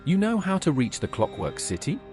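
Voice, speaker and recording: A man's voice: on the high side, wildly swooping pitch, brisk, darkish tone, soft background music. A synthetic male voice playing a curious adventurer asking questions in scripted video game dialogue.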